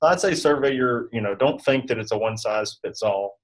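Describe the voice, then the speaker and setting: A man giving casual advice as an interview guest, in a halting, loosely phrased way with many filler phrases. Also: mid-range voice. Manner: halting, loose, peppered with "you know". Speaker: guest advisor.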